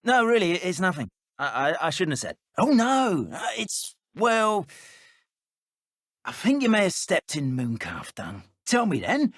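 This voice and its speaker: A man playing an excitable, nosy dark wizard henchman in a video game, with a hesitant, teasing manner. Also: sits high, a little slow, dry recording, clean studio sound, full character voice. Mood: flustered. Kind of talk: video game character voice acting.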